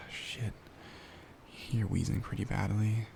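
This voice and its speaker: Guy playing a caring boyfriend in asmr roleplay, real slow and soothing, gravelly soft voice. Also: on the low side, flat delivery, background noise, heavily acted. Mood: anxious, soothing. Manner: soft, breathy, soothing, often near whisper. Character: caring, worried young boyfriend comforting his partner.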